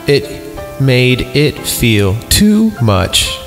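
A man's voice: mid-range voice, very animated, very slow. A man hosting a podcast, reading a horror story aloud for comedy and dropping in irreverent, casual asides. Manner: irreverent, mock-disgusted, often breaks off to comment. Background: background music.

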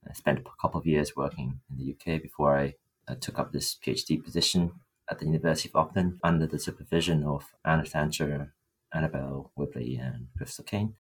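A man's voice: rumbling low, fairly even intonation, fairly quick, breathy edge, poor audio. A young adult man from Thailand, speaking conversationally as a student researcher in an interview. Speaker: PhD student guest.

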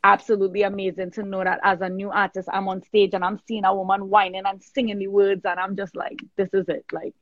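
Expressive woman singer chatting in interview, mostly w a bit of trini, dry slightly muffled audio. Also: mid-range voice, fast talker, few pauses, no echo. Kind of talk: casual interview chat.

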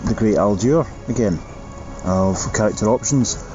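A man in the middle of the pitch range co-hosts a podcast, cheerful and teasing. The sound is dull and lo-fi. Music plays clearly behind him. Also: normal speed. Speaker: podcast co-host. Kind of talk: podcast chat.

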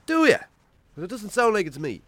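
An actor with an Irish accent playing a troubled, defensive, hard-drinking farmer in a radio drama, gruff, curt and sweary. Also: male voice, high-pitched, huge pitch swings, brisk, dry recording, exaggerated voice. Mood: angry, insistent. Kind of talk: naturalistic radio drama acting.

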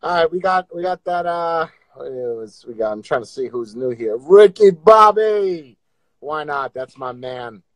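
A man runs a casual livestream, teasing his callers with crude jokes. His voice sits a little high for a man. The sound is dry and close, with no room behind it. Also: expressive, measured pace, no room sound, low-quality recording. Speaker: livestream host. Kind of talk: casual livestream chat with viewers.

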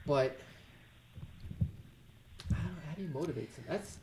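A man's voice: fairly high pitch, lively intonation, normal speed, crowd noise. A man, an EMS worker, talking bluntly in a casual conversation and often saying "you know". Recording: clear background noise.

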